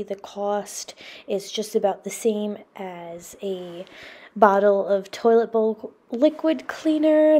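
Chatty young woman with a mellow voice. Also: mid-range voice. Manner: chatty, recommends products enthusiastically. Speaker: cleaning vlogger. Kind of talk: casual voice-over narration.

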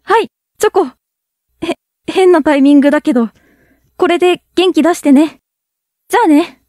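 A woman's voice: high voice, moderate pace, a little mellow, no echo, studio quality, natural voice. A young woman playing a friendly, easily flustered schoolgirl with a crush in a radio drama. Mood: shy. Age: young adult. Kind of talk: Japanese student radio drama acting.

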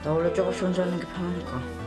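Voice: medium pitch, fast talker, lightly acted. A performer playing a skeptical, blunt schoolboy in a children's TV drama, with a doubting manner. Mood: gruff. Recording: loud music behind.

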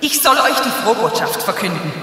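An actress playing a formal, easily exasperated angel of the Lord in a satirical Christmas radio play. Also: female voice, medium pitch, very animated, normal speed, pushed and bright. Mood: exasperated, scornful. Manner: solemn, formal, scriptural proclaiming. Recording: heavy echoing reverb, distant, faint noise.

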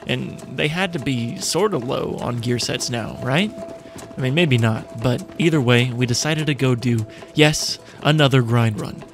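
A man with an ordinary mid-range voice narrates the video and calls out fights in quick bursts. Loud game music plays under him the whole time. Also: mid-range voice. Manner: shouts quick callouts in fights. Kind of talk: game callouts and voice-over narration.

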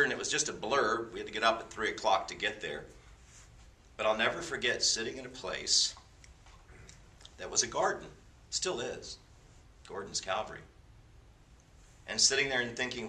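A man's voice: medium pitch, normal speed, long pauses, sharp tone, distant mic. A man in his fifties leading a class with an informal sermon, mixing stories with jokey, self-deprecating asides. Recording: roomy sound from away from the mic.

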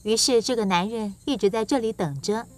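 Highish-voiced woman narrating children's tales. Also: fairly quick, faint music behind. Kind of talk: scripted children's story narration.

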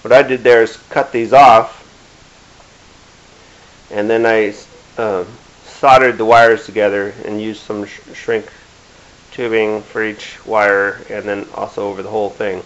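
A middle-aged man giving a casual hands-on demonstration in a halting, plain-spoken way. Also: on the low side, level tone. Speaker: DIY video maker. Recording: dull lo-fi camera sound with hiss.

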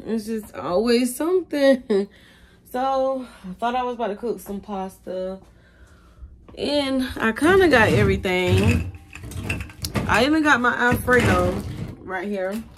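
A woman's voice: mid-range voice, takes it slowly. A young woman chatting casually to viewers about her kids while filming herself on her phone in a home kitchen. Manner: chatty, confiding, talks to viewers as y'all. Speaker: vlogger, mother of five. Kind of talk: casual vlog chat. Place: home kitchen and pantry, indoors. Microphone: phone camera, handheld or propped up.

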